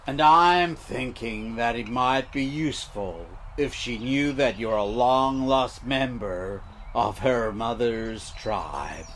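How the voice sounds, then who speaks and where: An actor playing a scheming small-town entrepreneur in a comedy audio drama, measured and persuasive, hedging as he goes. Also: mid-range voice, a bit bright, a little hiss or hum.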